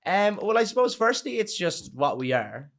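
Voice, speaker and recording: A young man with an Irish accent, a band member chatting as a guest on a podcast, loose and animated, swearing freely. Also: high voice, normal speed, gravelly. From Ireland. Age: young adult. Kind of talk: casual chat.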